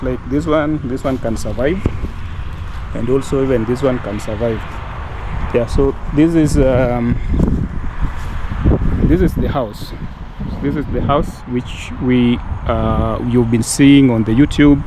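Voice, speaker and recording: A man from Kenya casually showing a house in a vlog, talking haltingly with many fillers and restarts. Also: medium pitch, noisy background. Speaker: vlogger touring a house. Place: outdoors at a rural house. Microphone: handheld camera.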